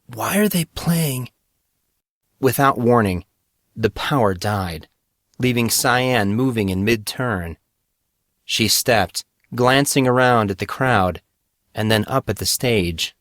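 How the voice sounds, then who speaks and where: A man's voice: on the low side, takes it slowly, a bit bright, no room sound, studio quality. A man reading scripted fiction as a dramatic audiobook narrator.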